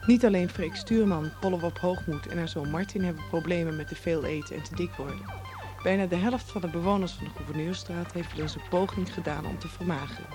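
A man's voice: high voice, a little fast, music in the background. A man reading scripted narration like a storyteller for a programme.

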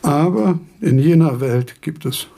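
An actor playing a frail, eccentric old philosopher in a radio drama, halting and pedantic, with a husky, creaky voice. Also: male voice, on the high side, lively intonation, dark tone, exaggerated voice. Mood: querulous, impatient. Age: older. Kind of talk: German radio drama acting.

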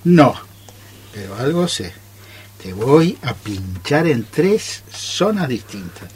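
A man's voice: mid-range voice, very animated, takes it slowly, slight background noise. An Argentine man acting a reckless, bossy amateur scientist who brushes off every worry in a radio play. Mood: nervous improvising. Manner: hushing, dismissive, overconfident.

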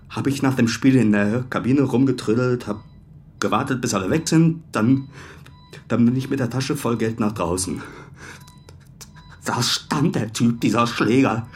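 A man's voice: mid-range voice, natural voice. Bitter, battered male goalkeeper played by an actor. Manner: aggrieved, halting testimony. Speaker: actor in audio crime drama. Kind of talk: German radio crime drama acting. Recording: faint music under the voice.

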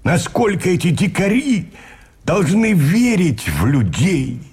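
Husky, earnest, slow-spoken idealist. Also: male voice, high-pitched, very animated, a little mellow, exaggerated voice. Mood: impassioned reproach. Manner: halting, earnest, with repeated words. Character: earnest, idealistic, indignant male prisoner, halting moralist. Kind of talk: Russian radio play acting.

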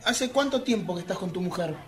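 A man with an Argentine accent acting a brooding, obsessively jealous narrator in a radio drama, speaking deliberately and introspectively. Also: sits high, animated, a bit bright. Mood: quietly probing. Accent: Rioplatense Argentine Spanish. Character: obsessive jealous man confessing his secret pursuit of a rival.

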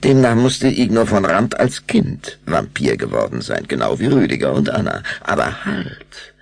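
A man narrating a German children's vampire audio drama as a storyteller, with measured, dramatic delivery. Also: low-pitched, big pitch swings, creaky, exaggerated voice. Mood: pondering.

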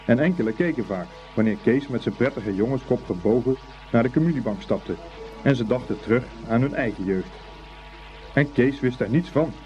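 A man narrating a scripted story for a Dutch radio play in an expressive, unhurried storytelling manner. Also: medium pitch. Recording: dull old radio recording, faint music.

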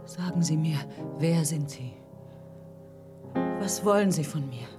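Verängstigte, flehende Gräfin, langsam. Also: female voice, sits low, expressive, takes it slowly, over music. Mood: fearful, demanding. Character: frightened captive countess, pleading yet accusing. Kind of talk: German radio drama acting.

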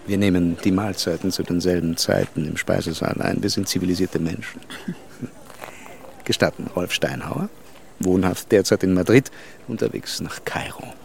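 Smooth older German adventurer, radio play: gravel in the voice, gallant, teasing, sure of himself. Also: male voice, low-pitched, moderate pace, darkish tone, a little hiss or hum. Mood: amused, formal. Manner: suave, teasing, self-assured. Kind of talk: German radio drama acting.

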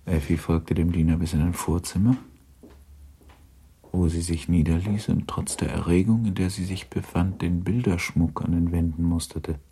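A man narrating a literary radio drama in a measured, formal storytelling manner. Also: deep voice, fairly even intonation, dark tone, slightly rough.

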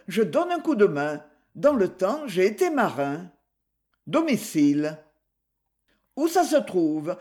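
A man voicing a cool police detective who questions and reasons in calm, clipped phrases. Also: high-pitched. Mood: questioning.

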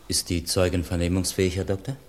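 A man playing an authoritative presiding judge in a radio crime play, formal, measured and commanding. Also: deep voice, flat delivery, close to an everyday voice. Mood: concerned, official.

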